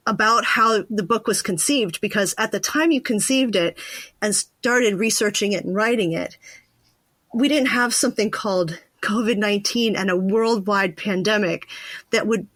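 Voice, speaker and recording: Female interviewer, medium pitch, conversational and reflective, very dry room sound. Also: moderate pace, a bit bright, no room sound. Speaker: podcast host and interviewer.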